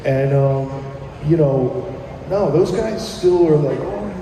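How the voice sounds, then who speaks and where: A man's voice: fairly high pitch, slow, warm tone, slight background noise. A man guesting on a radio show, chatting casually and rambling with many ums and restarts. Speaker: musician guest. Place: live broadcast at a venue. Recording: roomy live venue audio from far off.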